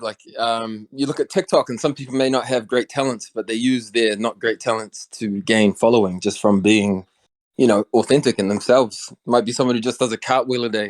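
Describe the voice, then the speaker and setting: A man's voice: medium pitch, poor audio. A young man, hosting a casual voice chat in a friendly, slangy way. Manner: friendly, slangy, calls people bro. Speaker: host.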